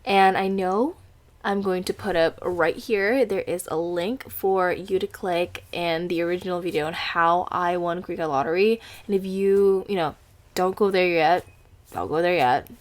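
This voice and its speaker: This is a young woman in her twenties with an Indonesian accent, speaking English as her second language. She rambles and giggles through a casual podcast chat, stops and restarts often, and sounds teary after crying. Her voice sits a little low. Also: measured pace. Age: 20s. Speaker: podcast host.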